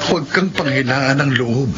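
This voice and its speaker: A man's voice: medium pitch, close to an everyday voice. A Filipino man playing an earnest, consoling husband and father full of faith in a radio drama. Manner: earnest, measured. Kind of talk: classic Tagalog radio drama acting. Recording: dull lo-fi radio drama recording. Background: loud dramatic music.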